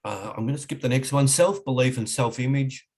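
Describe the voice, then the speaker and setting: A middle-aged man with an Australian accent, husky from a cold, giving an online webinar lecture in a halting way with many restarts. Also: medium pitch, darkish tone. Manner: halting, frequent restarts and fillers. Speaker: webinar presenter.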